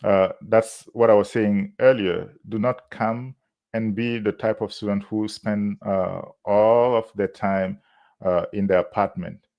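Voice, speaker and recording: A man from Burkina Faso, speaking English as a later language, giving measured advice as a university admissions officer, with many "uh" pauses. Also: lowish voice, steady tone, creaky, dull audio. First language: not English. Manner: measured, frequent "uh" fillers, warm laughs. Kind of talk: interview answers, advice to students.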